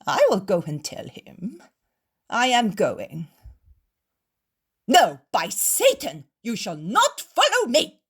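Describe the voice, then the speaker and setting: A woman shouting as a burly scornful innkeeper. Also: medium pitch, big pitch swings, slow, husky, voice pushed up, full character voice. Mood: mocking. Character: robust burly male innkeeper, fierce and mocking.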